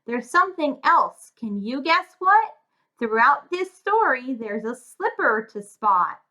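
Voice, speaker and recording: A woman's voice: sits high, big pitch swings, slow pace, pushed and bright, muffled recording. A woman reading a rhyming fairy tale aloud to children in a sing-song way, often turning to her young listeners with questions. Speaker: children's story reader and tutor.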